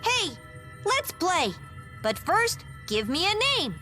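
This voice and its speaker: Squeaky bright talking plush toy. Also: female voice, very animated, slow pace, faint music behind, full character voice. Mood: blank, programmed. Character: talking stuffed toy reciting like a blank gadget. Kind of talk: English game dub acting.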